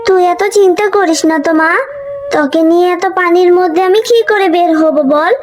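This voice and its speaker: High-voiced worried mother played by a woman. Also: fairly even intonation, normal speed, a little hiss or hum. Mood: reassuring. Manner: soothing, pleading, earnest. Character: worried, tender, devout poor village animal mother. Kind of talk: Bengali cartoon fairy-tale dialogue.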